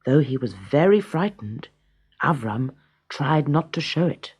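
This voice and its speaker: A storyteller reading a scripted children's tale in a dramatic, measured way. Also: mid-range voice, very animated, darkish tone, husky.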